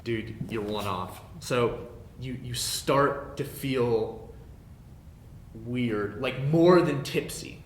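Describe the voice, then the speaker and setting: 방 울림 속 남자 게임 마스터. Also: male voice, very slow. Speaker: game master. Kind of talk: casual tabletop game narration. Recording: roomy echo, rumbling background noise.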